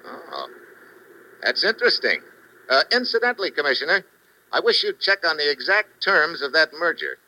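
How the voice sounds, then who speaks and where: An actor playing a wry, unflappable American foreign correspondent with an American accent in an old radio thriller. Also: male voice, on the high side, very animated, moderate pace, sharp tone, slight background noise, full character voice, rough recording. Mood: cool, probing. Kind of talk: 1950s radio thriller acting.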